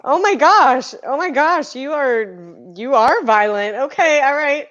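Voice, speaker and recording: A woman's voice: high-pitched, animated, a little slow, fairly bright tone. A woman playing a flustered, stammering woman in an improv comedy scene, with a dull lo-fi sound. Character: flustered fan-obsessed woman.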